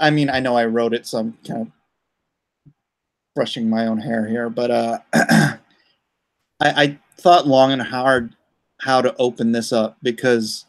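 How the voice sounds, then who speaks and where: A man hosting a casual livestream, rambling and easygoing as he thinks aloud. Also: mid-range voice. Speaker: livestream host and comic writer.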